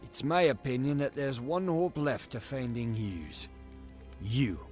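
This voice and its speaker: An actor playing a haughty, scheming gnome patron in a fantasy video game, speaking in a pompous, measured, condescending way. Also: male voice, mid-range voice, lively intonation, a little mellow, soft background music, close to an everyday voice. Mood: smooth, scheming.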